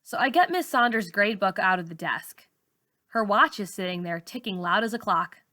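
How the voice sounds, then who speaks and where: A woman narrates a first-person story like a teacher reading to a class. Her voice is mid-pitched and bright, with a forceful edge and expressive delivery. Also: moderate pace. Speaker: teacher reading a novel aloud.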